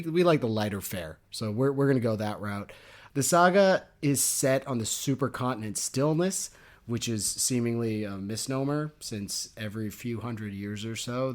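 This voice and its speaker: Young male podcast co-host, casual, jokey, quick to laugh. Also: on the low side. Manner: jokey and animated, laughs often. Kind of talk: casual book chat.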